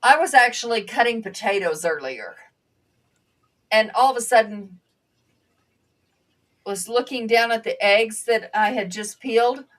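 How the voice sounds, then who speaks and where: A woman whose first language was Bulgarian, a panel guest and former nursing assistant, speaking earnestly and reflectively with halting restarts. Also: fairly high pitch, animated, recorded from a distance. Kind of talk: conversational panel talk.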